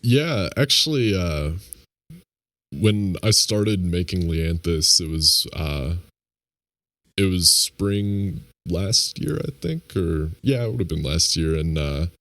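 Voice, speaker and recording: A man with a notably deep, low voice speaks as a guest on a remote call. His delivery is relaxed and halting, with lots of filler words. The recording is dry and close, with little room sound. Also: no room sound. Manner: laid-back, halting, lots of "like"s. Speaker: game developer guest. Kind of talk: casual interview chat.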